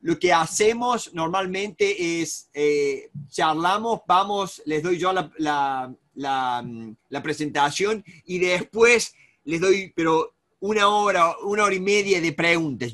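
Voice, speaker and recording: Argentine male coach in his 40s, high bright voice, rambling webcam presenter. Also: a little slow. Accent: Argentine Spanish. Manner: rambling, many restarts and fillers. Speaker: negotiation coach. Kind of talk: live webinar presentation. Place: home office with bookshelves. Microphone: video call webcam.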